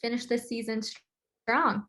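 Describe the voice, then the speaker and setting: A woman's voice: a little high, fairly even intonation, measured pace, sharp tone. A woman with an American accent discussing games casually as co-host of a sports podcast.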